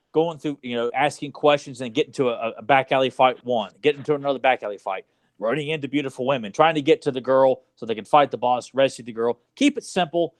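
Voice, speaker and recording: A man co-hosting a podcast, talking casually about movies in a rambling way full of asides. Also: mid-range voice. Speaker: podcast co-host.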